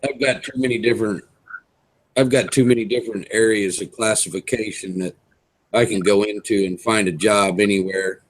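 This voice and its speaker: A man in his fifties hosting a casual online video hangout, chatting in an easygoing way with drawn-out fillers over a computer mic. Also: on the low side, fairly even intonation, low-quality recording. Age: 50s. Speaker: host.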